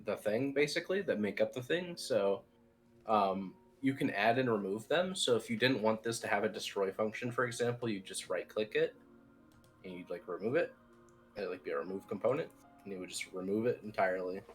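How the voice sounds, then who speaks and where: A man's voice: fairly low pitch, a little fast, faint music behind. A man giving an informal technical tutorial as team lead, explaining things in a loose, rambling way. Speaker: software team lead, instructor.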